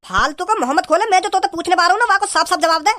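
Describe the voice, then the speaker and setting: A man voicing an angry, stern father in an amateur comedy cartoon, indignant and scolding, with a pitched-up voice. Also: rapid-fire, pushed and bright.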